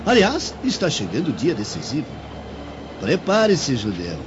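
A cocky young Roman noble in a radio drama. His highish voice leaps wildly up and down with haughty condescension, over a noisy background. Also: wildly swooping pitch, normal speed. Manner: haughty, exclamatory. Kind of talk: theatrical radio drama acting. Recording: dull recording, noisy outdoor arena ambience.

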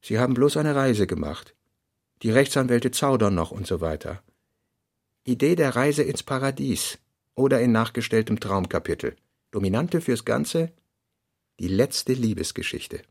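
A man's voice: medium pitch, expressive, moderate pace, gravelly, darkish tone. A man doing a scripted reading of literary notes for a radio drama. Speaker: announcer of chapters and notes.

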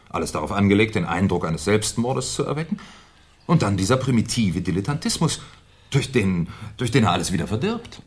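A very low-voiced, shrewd police commissioner in a 1970s radio crime play. He muses aloud with sly politeness, his husky delivery rising and falling in lively swings as he probes a suspect. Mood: puzzled, deliberate. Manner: musing, hesitant, slyly polite questioning. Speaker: detective in a crime drama. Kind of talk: 1970s German radio crime drama acting.

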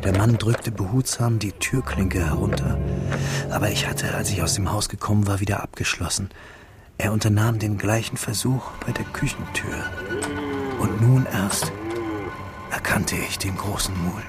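An actor playing a wistful, pensive young man who narrates his memories in a measured, reflective way for a literary radio drama. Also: on the low side, over loud music, close to an everyday voice. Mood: quietly tense.

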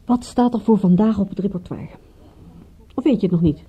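An actress playing a teasing, sharp-tongued female companion of a detective in a radio drama. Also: mid-range voice, expressive, brisk, slight background noise, natural voice. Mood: casual. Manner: teasing, pointed banter. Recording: dull old radio play recording.

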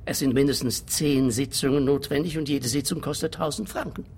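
An actor playing a self-justifying, money-minded anti-aging therapist in a radio play, explaining things in a measured, condescending way. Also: male voice, medium pitch, darkish tone, slight background noise, lightly acted. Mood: smooth, persuasive.

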